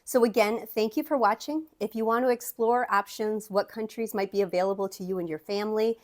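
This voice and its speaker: A woman from the American Midwest speaks with a Wisconsin accent, sounding like someone in her thirties. She talks straight to camera as an immigration attorney, chatty and upbeat, restarting with "okay" and "um" as she goes. Her pitch sits in the middle range for a woman. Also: fairly quick, a little mellow. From United States. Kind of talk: direct-to-camera talk.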